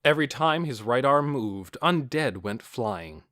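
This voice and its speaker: A man's voice: medium pitch, animated, measured pace, a bit bright. A man reading scripted fantasy fiction as a dramatic audiobook narrator.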